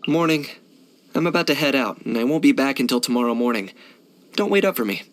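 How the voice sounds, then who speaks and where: Man playing a deadpan young hunter for hire. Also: mid-range voice, fast talker, lightly acted. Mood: calm. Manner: deadpan, matter-of-fact, laconic. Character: cool, pragmatic young male hunter for hire. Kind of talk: video game character voice lines.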